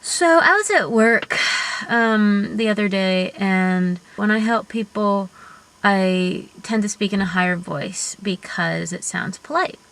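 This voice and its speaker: Young female-bodied genderqueer vlogger, high voice, slow earnest pace, reflective and thoughtful, home video-diary delivery. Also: a bit bright. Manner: reflective, earnest, thinking aloud with fillers. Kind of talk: personal vlog monologue.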